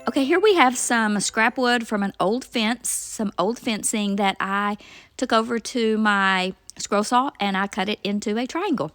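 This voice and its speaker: A woman's voice: on the high side, a little slow, a bit bright, faint music behind. A woman hosting a rustic craft tutorial, talking through each step in a chatty, homey voice-over. She sounds a bit nasal from a cold. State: nasal from a cold, sore throat. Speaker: craft channel host.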